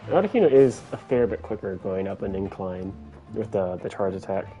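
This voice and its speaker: Mellow low-voiced man streaming a game. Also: lively intonation, brisk, faint music behind. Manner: rambling, lots of "like" and "you know". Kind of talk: casual let's-play commentary.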